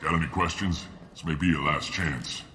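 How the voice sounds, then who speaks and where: An actor playing a gruff, cocky mercenary mechanic sergeant in a video game cutscene. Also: male voice, a bass voice, steady tone, normal speed, pushed and bright, slight background noise. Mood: brash, confident. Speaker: mercenary gunship mechanic and planner.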